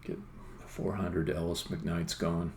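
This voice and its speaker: A man's voice: low-pitched, little pitch movement, slow, darkish tone. A man doing casual live-coding commentary as a game developer on a stream, muttering to himself in short, informal remarks as he thinks aloud. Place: indoor room at a computer. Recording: roomy echo, faint background noise, keyboard typing.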